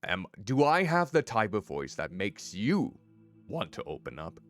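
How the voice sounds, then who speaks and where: A man streaming a story game as a VTuber, chatting with his viewers in a playful, teasing way with a deep voice. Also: huge pitch swings, measured pace, no room sound, studio quality, faint music behind. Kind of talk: casual gameplay commentary.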